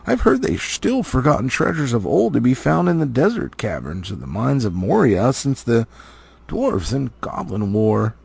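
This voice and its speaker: A wise and stately elf lord acted out by a man reading a storybook. He declaims solemnly, rising and falling dramatically. The voice is husky with a gravelly edge. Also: medium pitch, wildly swooping pitch, moderate pace, a little mellow, full character voice. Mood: measured, knowing.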